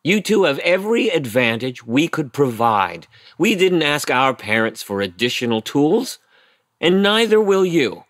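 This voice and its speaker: Stern middle-aged dad, indignant and blunt, swooping emphatic delivery, close dry sound. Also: male voice, fairly high pitch, very animated, measured pace, fairly bright tone, no room sound. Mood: firm, dismissive. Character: stern, indignant immigrant-family father, engineer. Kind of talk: audio play acting.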